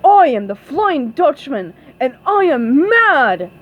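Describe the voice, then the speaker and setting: A woman voicing an angry, menacing male cartoon ghost pirate in a booming, emphatic voice. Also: big pitch swings, slow pace. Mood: angry, boastful. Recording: dull lo-fi, faint background noise.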